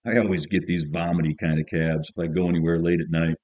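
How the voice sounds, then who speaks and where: A man's voice: low voice, level tone, fast talker, a little mellow, dry recording, lo-fi sound, natural voice. A man reading as a jaded, cynical teenage schoolboy, narrating an audiobook in the first person in a colloquial, grumbling, offhand way. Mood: musing.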